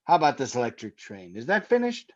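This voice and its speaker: A man's voice: medium pitch, huge pitch swings, fast talker. Dull-sounding recording; polite male small-town mayor for radio comedy played by an actor, earnest, formal, widely varied intonation. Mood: courteous. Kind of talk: old-time radio comedy acting.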